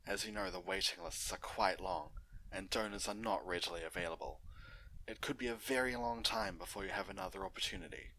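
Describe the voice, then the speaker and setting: A man voicing a polite female pony doctor from a story, speaking in a measured, formal, reassuring way. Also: mid-range voice, sing-song, gravelly, pushed and bright, a little hiss or hum, pitched above the performer's own voice, exaggerated voice, low-quality recording. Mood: professional, reassuring.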